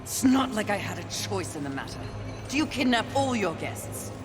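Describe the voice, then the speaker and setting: A woman's voice: mid-range voice, very animated, normal speed, natural voice. Actress as proud female horseman of the apocalypse, scornful, commanding, curt, game cutscene, music behind. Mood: sarcastic. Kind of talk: video game cutscene acting. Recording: long cavernous reverb.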